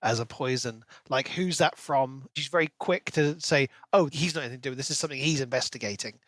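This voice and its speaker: A man's voice: mid-range voice, fast, a bit bright, dry recording. A man co-hosting a casual book discussion, talking in an enthusiastic, speculative and conversational way. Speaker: podcast co-host.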